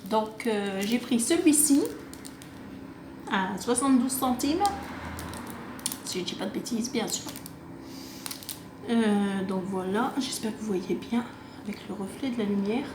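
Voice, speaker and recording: A woman's voice: medium pitch, measured pace, pauses often. A woman chatting casually and unscripted to camera, hesitant and rambling as she presents a craft shopping haul, recorded on a phone on a table. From France, half Italian. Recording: roomy echo, clearly noisy home recording.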